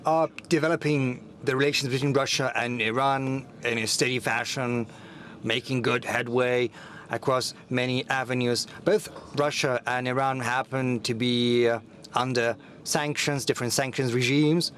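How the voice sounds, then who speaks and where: A man giving simultaneous interpretation at a press meeting, steady and formal, with frequent filler sounds. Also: medium pitch, moderate pace, slight background noise.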